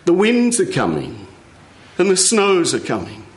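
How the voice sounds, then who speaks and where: Man voicing a plaintive seal-wife, slow and echoing. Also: high voice, wildly swooping pitch, pitched above the performer's own voice, heavily acted. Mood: pleading. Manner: plaintive, drawn-out calling. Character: gentle, fearful seal-wife pleading and singing for her husband.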